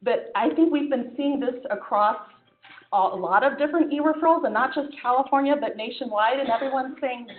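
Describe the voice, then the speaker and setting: A woman's voice: sits high, brisk. A woman physician giving a conference talk and answering questions, in a conversational way with frequent fillers and restarts. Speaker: physician presenter. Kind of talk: conference presentation with Q&A. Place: conference room. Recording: phone-like echoey room sound.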